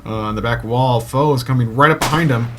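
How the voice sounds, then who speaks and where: A man giving live play-by-play commentary on an online shooter match, getting excited at kills and stumbling over player names. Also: mid-range voice, fast talker, background noise. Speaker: esports shoutcaster. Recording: loud game music and gunfire behind.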